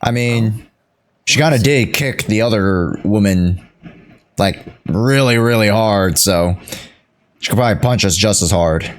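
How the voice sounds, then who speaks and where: A man's voice: lowish voice, unhurried. A young man giving casual, slangy live commentary as a game streamer. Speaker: game livestreamer.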